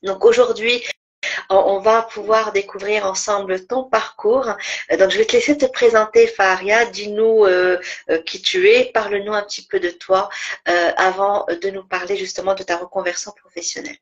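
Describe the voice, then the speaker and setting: A woman's voice: mid-range voice, fairly even intonation, moderate pace. A woman hosting a podcast, interviewing a guest in a conversational way over a lo-fi online connection. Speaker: podcast host.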